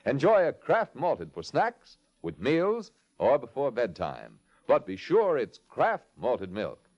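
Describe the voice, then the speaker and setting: A man's voice: medium pitch, huge pitch swings, takes it slowly, darkish tone. An American man announcing on 1950s radio in a hearty, polished, scripted sales delivery. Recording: dull, band-limited old radio recording.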